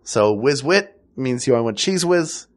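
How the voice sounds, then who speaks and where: A man with a nasal Philadelphia accent trades jokes as a podcast co-host. His pitch swings widely as he talks, and his banter stays playful and loose. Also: expressive, measured pace. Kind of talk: casual comedy chat.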